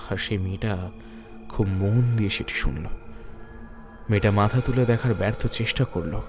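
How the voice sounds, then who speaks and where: A man narrating a scripted suspense story in a measured, literary storytelling manner. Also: lowish voice, darkish tone, slight background noise.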